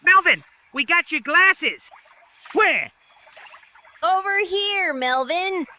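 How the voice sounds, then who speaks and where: A woman voicing an eager little cartoon duckling in a squeaky, childlike, excited manner. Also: takes it slowly, a little hiss or hum, full character voice.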